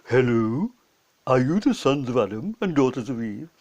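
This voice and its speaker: A man's voice: mid-range voice, sing-song, normal speed, darkish tone. A man voicing a cautious, urgent male beaver who guides children in a storybook. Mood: secretive.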